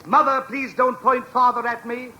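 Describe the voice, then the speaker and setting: An actor playing a pompous, florid street poet who declaims grandly with flowery diction in a 1940s radio comedy. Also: male voice, very high-pitched, steady tone, moderate pace, pushed and bright, exaggerated voice. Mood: declamatory. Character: pompous, florid hammy street poet Falstaff, bard of the Bowery. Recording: faintly noisy vintage radio recording.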